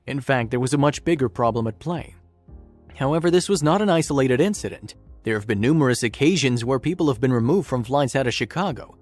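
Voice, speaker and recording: A male narrator reads a scripted story in documentary style. His voice sits at an average pitch for a man. Music plays behind him. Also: darkish tone. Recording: music bed under narration. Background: background music.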